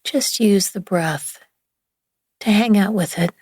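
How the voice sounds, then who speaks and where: A woman leading a guided relaxation meditation in a gentle, soothing, hushed manner with long pauses between phrases. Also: medium pitch, husky, dry recording, clean studio sound. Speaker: yoga nidra meditation guide.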